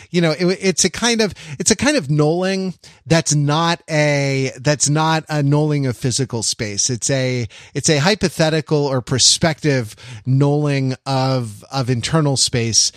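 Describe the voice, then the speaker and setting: Male podcast panelist, forties, mid-low voice, wry and halting, musing storyteller, very dry recording. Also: no room sound. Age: 40s. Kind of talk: casual reflective chat.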